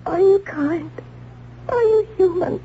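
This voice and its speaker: High-voiced, desperate young condemned maid. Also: female voice. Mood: anguished. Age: young adult. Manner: halting, fervent, theatrical. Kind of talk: old-time radio drama acting. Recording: tinny, noisy old radio recording.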